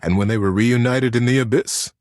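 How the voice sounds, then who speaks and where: A man voicing a gentle, earnest male teddy bear in a video game, telling bedtime stories in a soothing, expressive way with dramatic pauses. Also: mid-range voice, no room sound, studio quality, lightly acted. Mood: earnest narrating.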